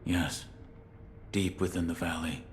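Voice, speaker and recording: An actor playing a stoic, loyal shinobi in a video game, terse, grave and deferential. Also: male voice, deep voice, steady tone, a little slow, a little hiss or hum. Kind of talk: restrained video game acting.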